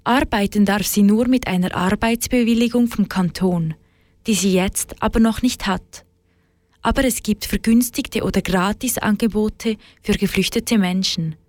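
A woman reporter reading a scripted radio news segment in an even tone. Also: mid-range voice, normal speed, no echo.

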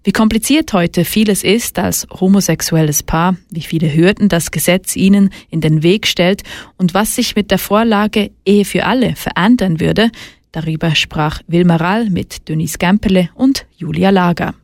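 A woman reading a scripted radio news report in a measured, matter-of-fact way. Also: lowish voice, dry recording, studio quality.

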